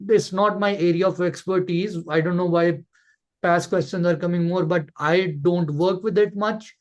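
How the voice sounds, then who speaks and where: A man's voice: sits high, fairly even intonation, moderate pace, a little mellow. A man coaching callers in an informal online Q&A, blunt and emphatic, often repeating words to drive a point home. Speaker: coach hosting a Q&A session.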